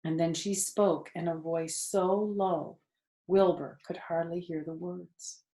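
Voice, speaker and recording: An older woman reading a children's book aloud as a storyteller, with expressive narration. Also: fairly low pitch, takes it slowly, reverberant.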